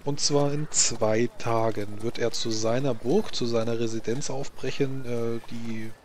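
A man narrating a tabletop role-playing game as game master, halting as he searches for words. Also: mid-range voice, fairly even intonation, darkish tone, slight background noise.

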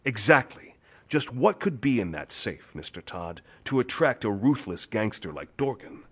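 An actor playing a hard-nosed, suspicious police detective in a pulp thriller audio drama, firing insistent, probing questions. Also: male voice, lowish voice, very animated, normal speed, a bit bright, slightly rough, full character voice.

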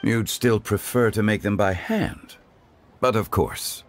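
Dignified ancient gentleman for a game, slow and courteous, lowish voice. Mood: musing. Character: calm dignified ancient man, composed and gentle. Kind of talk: game voice acting. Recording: game audio with faint music behind.

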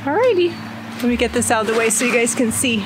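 A woman's voice: fairly high pitch, lively intonation, fast, music in the background, poor audio. A middle-aged woman hosting a homestead vlog, chatting casually while working in an outdoor garden. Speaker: homestead vlogger. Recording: outdoor noise behind.

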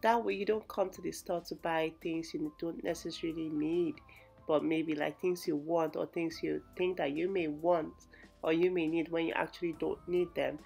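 A woman's voice: low-pitched, fairly even intonation, normal speed, creaky, a little mellow, husky, soft background music. A young woman vlogging about her grocery shopping in a chatty, explanatory way, with lots of fillers. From Nigeria. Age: young adult. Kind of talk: casual shopping vlog narration.

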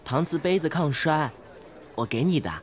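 A man's voice: a little high, normal speed, noisy background, natural voice. A young man acting a mild, earnest, bookish male student in a radio drama. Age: young adult.

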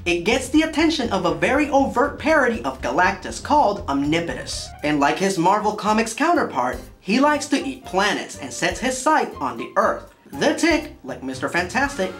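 A man's voice: high voice, a bit bright. A man in his thirties delivering scripted review commentary in a theatrical, emphatic manner. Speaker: video review host. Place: small indoor studio room.

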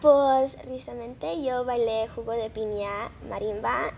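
A woman's voice: fairly high pitch, little pitch movement, measured pace, creaky, a little mellow, a little hiss or hum. A young woman giving brief, shy answers as an interview guest. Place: indoor TV studio set.

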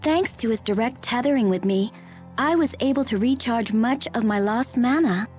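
A woman's voice: fairly high pitch, normal speed, a little mellow, faint music behind, close to an everyday voice. A woman playing an airy, coquettish young female spirit in a video game dub. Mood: sweet.

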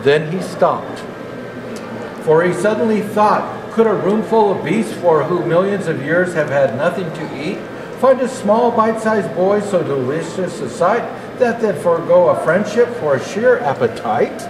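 An older man reading a children's rhyming storybook aloud as Santa Claus, in a measured, expressive delivery. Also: high voice. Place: indoor natural history museum hall. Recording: echoey hall, clearly noisy background.

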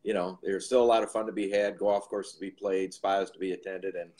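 A man's voice: sits low, little pitch movement, fairly quick, a little mellow. A man in his fifties hosting an interview over a video call, chatty and rambling. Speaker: host. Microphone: video call with earbud headset.

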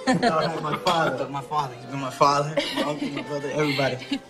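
An actor playing a devoted, playful younger brother, a plumber, in film comedy dialogue. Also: male voice, a little high, fairly even intonation, a little fast, close to an everyday voice. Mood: fond, flustered. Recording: loud music over film dialogue.

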